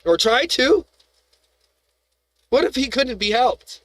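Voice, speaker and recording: A man's voice: extremely high pitch, lively intonation, slow, a bit bright, no room sound. A man hosting a live stream, ranting in a heated, profane, rambling way, full of restarts and rhetorical questions.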